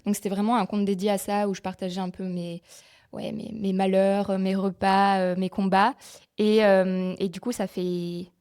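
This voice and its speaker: A woman's voice: mid-range voice, little pitch movement, normal speed, darkish tone, clear, resonant tone. A woman in her twenties from France, a physiotherapist guest chatting openly on a podcast with frequent small hesitations. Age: 20s. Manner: open and friendly, with frequent filler hesitations. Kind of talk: casual interview chat.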